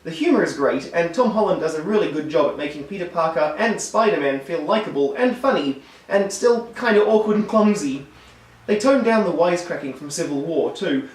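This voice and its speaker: A hoarse man who is losing his voice, giving a wry, enthusiastic scripted film review. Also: fairly high pitch, animated, a little fast. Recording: roomy echo, distant mic.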